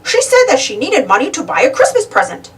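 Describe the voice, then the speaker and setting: Woman as chatty shopkeeper, cheerful, emphatic, storybook character, high lilting pitch, slightly distant. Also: huge pitch swings, brisk. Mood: helpful.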